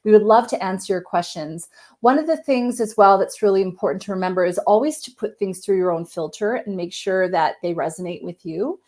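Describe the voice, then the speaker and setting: Woman host, a bit husky from a cold. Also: medium pitch, brisk, a little mellow. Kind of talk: live-streamed casual chat.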